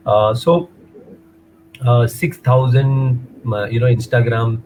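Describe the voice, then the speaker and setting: Indian English man, 40s, guest on a video call, hesitant and rambling, mid-pitched. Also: steady tone, slow pace, background noise. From Mumbai, India. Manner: hesitant, many fillers, rambling. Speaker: guest, runner and motivator. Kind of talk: casual interview chat, mixing in Hindi.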